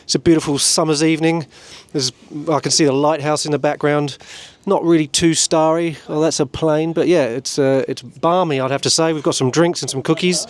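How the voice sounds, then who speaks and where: A man's voice: fairly high pitch, fairly even intonation, brisk, dry recording. Hesitant, wry man co-hosting. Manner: hesitant, dry self-deprecating humor. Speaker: co-host. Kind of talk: casual chat.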